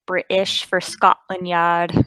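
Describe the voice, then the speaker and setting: A woman's voice: fairly low pitch, completely flat delivery, slow, pushed and bright, clean tone, dry recording, low-quality recording. A woman performer chatting casually at a table read. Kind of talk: casual chat.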